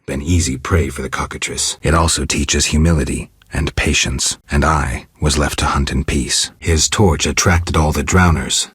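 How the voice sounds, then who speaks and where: A man voicing a stoic, world-weary monster hunter in a video game, with a gravelly, husky voice and terse, deadpan delivery. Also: rumbling low, no room sound, studio quality, heavily acted. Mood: dry, detached. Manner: terse, deadpan, clipped phrases. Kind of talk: video game monologue, reflective narration.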